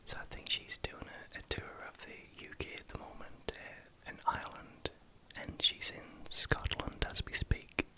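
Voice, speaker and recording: A man with a Geordie accent from northeast England, speaking as an ASMR creator in a hesitant, halting way while recounting things. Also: bright, forceful tone. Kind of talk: whispered ASMR vlog, recounting.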